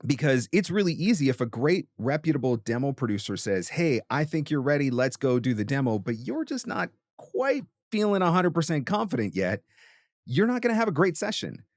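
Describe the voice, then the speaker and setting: A man's voice: on the high side, sing-song, fairly quick, dry recording, studio quality. A man hosting a talk and giving conversational coaching advice, emphatic and repeating phrases for stress. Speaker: host and voiceover coach answering a question.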